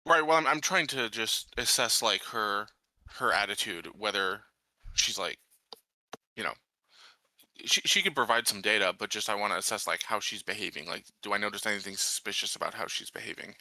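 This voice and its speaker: Guy at a tabletop game, really forceful bright voice, halting questions, dry mic. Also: fairly low pitch, dry recording. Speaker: tabletop roleplaying player. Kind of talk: casual game discussion.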